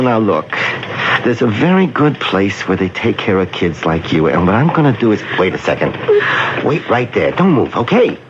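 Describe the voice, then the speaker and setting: An actor playing a harried but kindly middle-aged Brooklyn police officer in a radio drama, folksy and insistent. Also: male voice, fairly low pitch. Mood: exasperated. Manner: folksy, insistent, reassuring questioning. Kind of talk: 1970s radio drama acting. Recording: muffled, noisy old radio broadcast.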